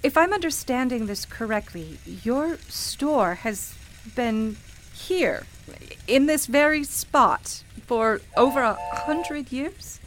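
A woman's voice: high voice, lively intonation, slow pace, a little hiss or hum, poor audio. A woman playing a skeptical, polite and bemused city dweller in a radio play, with a hesitant, incredulous manner. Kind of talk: naturalistic radio play acting.

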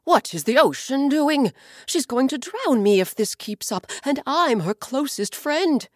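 A woman voicing the sky as a proud, touchy male figure in a children's story, speaking lower and slow when offended. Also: sing-song, dry recording, clean studio sound, heavily acted. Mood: alarmed.

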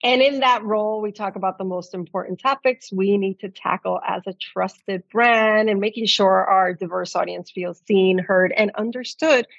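A woman's voice: mid-range voice, moderate pace, vocal fry, few pauses, no echo, dull audio. A middle-aged American woman speaking articulately and reflectively as a guest in a conversational interview. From New York City, United States. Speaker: podcast guest, magazine editor.

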